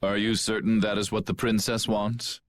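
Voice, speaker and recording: A man's voice: fairly low pitch, flat delivery, normal speed, close to an everyday voice. An actor playing a cold, scheming commander who mocks his captives in a game cutscene. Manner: cold, gloating, mocking laughter. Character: scheming ruthless male commander, middle-aged. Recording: game audio with music behind.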